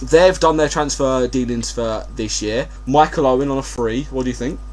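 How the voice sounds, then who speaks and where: A man's voice: medium pitch, normal speed. A man hosting a casual football podcast, talking in a hesitant, jokey way as he thinks aloud. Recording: hum and steady background noise.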